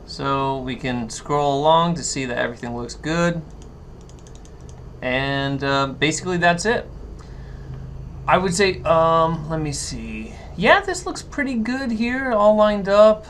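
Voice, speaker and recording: A man giving an unscripted software walkthrough, thinking aloud and haltingly explaining each step as he goes. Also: a little high, expressive. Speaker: tutorial instructor. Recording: noticeable background noise.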